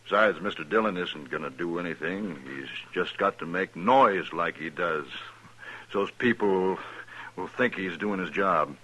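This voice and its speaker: A western outlaw boss with a lowish, forceful, sharp voice. He drawls with mock politeness, smug and taunting, in old radio western style. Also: unhurried, slightly rough, exaggerated voice, low-quality recording. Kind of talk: 1950s radio western acting.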